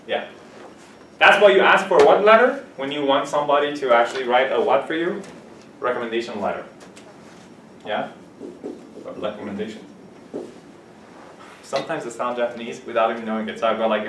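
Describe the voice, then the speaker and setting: A man teaching English vocabulary, his pitch rising and falling with lively energy as he jokes and laughs with students. He is picked up from a distance in a roomy, echoing classroom, with a faint background hiss. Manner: playful, laughs often, gives example sentences. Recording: echoey classroom, camera from a distance.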